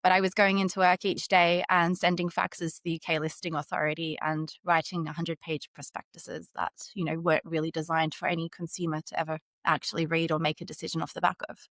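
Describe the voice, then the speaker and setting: Australian woman, fintech guest, lowish voice, articulate and upbeat, dry close sound. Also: flat delivery, brisk, few pauses, fairly bright tone, dry recording. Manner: articulate, upbeat, laughs lightly while telling stories. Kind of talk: conversational interview answers.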